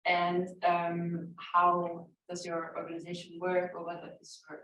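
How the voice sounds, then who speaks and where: A woman's voice: lowish voice, completely flat delivery, a little slow, a bit bright, dull audio, slight background noise. Hesitant woman asking from far off. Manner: hesitant, fills gaps with "um". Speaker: audience member asking questions. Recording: distant voice in an echoey room.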